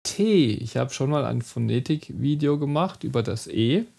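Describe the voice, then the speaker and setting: A middle-aged man giving an online pronunciation lesson, slowly demonstrating and repeating model words. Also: mid-range voice, expressive, creaky, mellow, husky. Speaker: German teacher.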